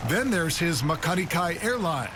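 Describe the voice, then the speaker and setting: A man's voice: on the high side, big pitch swings, normal speed, noisy background. A man reporting a business feature for television in a polished, scripted news delivery.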